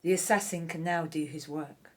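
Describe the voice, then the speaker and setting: Radio drama villainess , female actor: ruthless royal wife, lowish voice, haughty, cutting delivery. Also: fairly even intonation, normal speed, a little mellow, close to an everyday voice. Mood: conspiratorial. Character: ruthless scheming vengeful royal wife of ancient Egypt.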